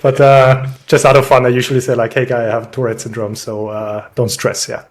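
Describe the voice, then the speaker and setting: A man's voice: mid-range voice, little pitch movement, a little fast. A young Swiss man, a podcast guest, talking in a chatty, self-deprecating way and laughing often over a video call. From Switzerland. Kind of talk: casual conversational interview.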